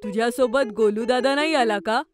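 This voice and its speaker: Casting: woman playing polite, inquisitive young cartoon boy, high voice, music bed. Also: moderate pace, close to an everyday voice. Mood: curious, worried. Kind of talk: cartoon voice acting. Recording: music under the voice.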